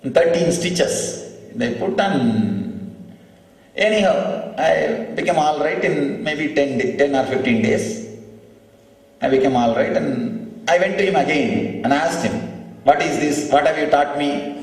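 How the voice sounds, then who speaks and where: A man's voice: fairly high pitch, expressive, unhurried. A man from South India, a native Tamil speaker with a Tamil Indian accent, giving a spiritual discourse as a storytelling guru, repeating phrases for emphasis. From Tamil Nadu, South India. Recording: very echoey hall, distant microphone.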